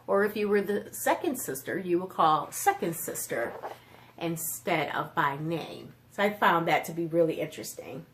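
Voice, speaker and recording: A woman with a slightly low voice presents book reviews as a children's storytime host. She explains things simply and puts easy questions to her young listeners. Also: animated, normal speed, slight background noise.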